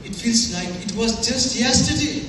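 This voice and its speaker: A woman's voice: fairly high pitch, expressive, moderate pace, natural voice. A woman in a dramatic stage reading, playing a numb, broken young victim. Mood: numb, troubled. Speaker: student teacher performing in a readers' theatre. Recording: very echoey hall, distant mic, faint noise.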